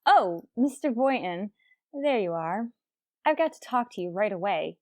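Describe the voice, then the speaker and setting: An actress playing a wry, quick-witted high school teacher in an old-time radio comedy, arch and polite in manner. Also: female voice, expressive, no echo, clean studio sound. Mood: urgent. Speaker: lead actress.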